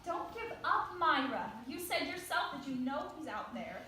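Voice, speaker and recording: A woman's voice: high voice, sing-song, normal speed, bright, forceful tone, full character voice. An actress playing a perky, sassy young office matchmaker who teases her friends in a stage play. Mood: teasing, encouraging. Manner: perky, teasing. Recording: very echoey room, faint noise.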